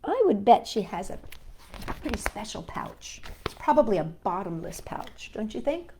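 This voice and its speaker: A woman hosting a children's storytime, reading aloud and chatting in a playful, expressive way and putting questions to her young listeners. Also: medium pitch, moderate pace, noisy background. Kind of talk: animated read-aloud and chat for young children.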